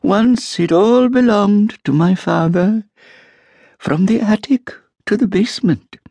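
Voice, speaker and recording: A man voicing a fussy, genteel old woman landlady who pries sweetly, with drawn-out sing-song lines. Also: mid-range voice, sing-song, darkish tone, husky, no echo, voice pushed up, heavily acted. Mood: wistful prying. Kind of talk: audiobook character voice.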